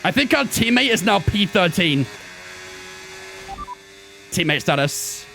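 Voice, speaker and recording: A man's voice: high-pitched, big pitch swings, slow, creaky, sharp tone, soft background music. A man in his twenties streaming a racing game, giving chatty running commentary and talking to his chat. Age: 20s. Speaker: gaming streamer. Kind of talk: casual live-stream commentary while racing.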